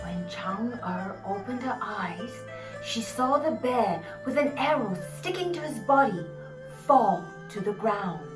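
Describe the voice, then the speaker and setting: A woman narrating a dramatised story in an expressive, theatrical way. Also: a little high, takes it slowly, recorded from a distance. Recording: echoey room, off mic, music behind.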